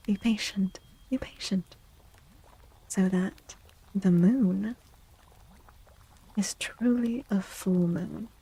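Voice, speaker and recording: A woman speaking softly and gently in a breathy, half-whispered ASMR style, like a livestreamer, often repeating phrases. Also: mid-range voice, poor audio. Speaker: streamer and tarot reader. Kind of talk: soft-spoken ASMR livestream with casual chat.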